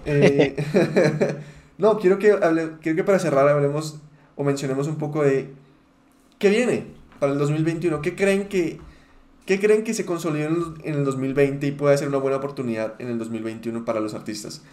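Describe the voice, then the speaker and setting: A man's voice: on the high side, moderate pace, a little mellow. A man hosting a casual podcast chat in who leads the talk and laughs often. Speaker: podcast host. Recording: echoey room.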